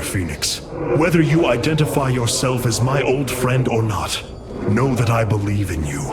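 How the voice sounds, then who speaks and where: A man's voice: mid-range voice, natural voice. An actor playing a resolute male alien leader in a game cutscene, formal, commanding and earnest. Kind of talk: video game cutscene acting. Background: loud music.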